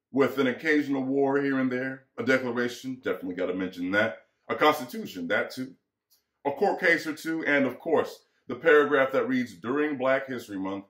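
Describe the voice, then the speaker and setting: A man in his twenties reading aloud conversationally and dramatically, addressing the listener directly with deliberate pauses. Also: medium pitch, fairly bright tone. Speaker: performer reading a book aloud. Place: small room indoors, seated. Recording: echoey room.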